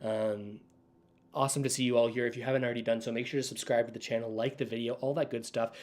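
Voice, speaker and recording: A man's voice: mid-range voice, fairly even intonation, quick pace. Casual male livestream host. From Canada. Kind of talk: casual unscripted livestream commentary.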